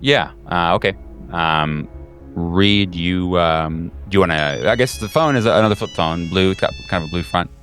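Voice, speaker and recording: A man working as game master, improvising halting, descriptive narration for a tabletop horror game and thinking aloud as he goes. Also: low-pitched, fairly bright tone. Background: background music.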